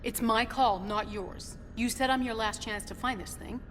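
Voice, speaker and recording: A woman's voice: a little high, moderate pace, a bit bright, natural voice. A brave, stubborn young woman researcher in a dramatic game , acted by a woman. Her delivery is earnest and insistent, in a reverberant room with a faint ambient hum. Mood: insistent, defiant. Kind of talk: dramatic video game acting.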